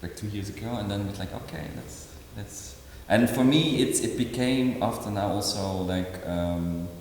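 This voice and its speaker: A very deep-voiced man in his forties talks haltingly, with lots of fillers and restarts. He was born in Indonesia and answers interview questions as an artist. The recording comes from a large room with a strong echo, a long reverb and a faint hiss. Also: darkish tone. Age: 40s. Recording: echoey hall with faint noise.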